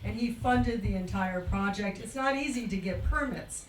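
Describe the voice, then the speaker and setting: A woman's voice: medium pitch, moderate pace. An older woman speaks as a guest presenter in an informal lecture. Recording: echoey hall, distant mic, clear background noise.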